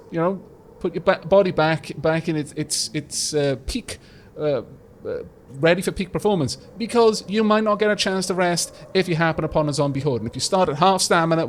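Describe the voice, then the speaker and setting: A man hosting a let's play, chatting casually and explaining game mechanics with a slight rumble in his voice. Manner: chatty, explanatory, frequent restarts and fillers. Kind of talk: casual gameplay commentary.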